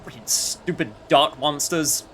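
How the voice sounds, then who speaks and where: A male game streamer with a somewhat high voice gives casual commentary. He reacts playfully, mutters to himself and swears. Faint noise sits in the background. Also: measured pace, fairly bright tone. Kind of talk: casual let's-play commentary.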